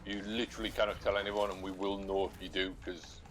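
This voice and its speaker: A man's voice: sits low, little pitch movement, quick pace, fairly bright tone. A man in a casual chat who tells anecdotes and asks the guest questions. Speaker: co-host friend.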